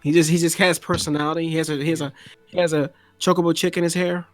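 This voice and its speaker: A young man with a slightly higher voice speaks hesitantly, then slips into jokes. The sound is dry and close, with faint music playing under him. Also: little pitch movement, fast, no room sound. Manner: hesitant, joking. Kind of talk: casual gaming podcast chat.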